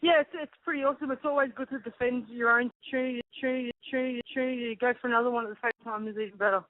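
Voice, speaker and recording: A woman's voice: on the high side, fairly even intonation, fast, dry recording. A woman boxer giving brief, easygoing answers in a phone interview. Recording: tinny phone line.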